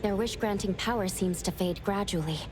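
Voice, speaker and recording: A woman playing a calm, analytical young investigator in an anime game, measured and matter-of-fact as she reasons aloud. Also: mid-range voice, loud music behind the voice, lightly acted. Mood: thoughtful.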